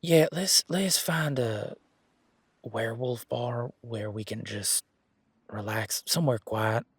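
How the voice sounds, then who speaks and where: A man's voice: medium pitch, takes it slowly, slightly rough, no echo. A man playing a polite, flustered werewolf boyfriend in a romantic audio drama, talking casually with nervous stammers. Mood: amazed, relieved. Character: Gavin, flustered, polite, excitable young male werewolf boyfriend.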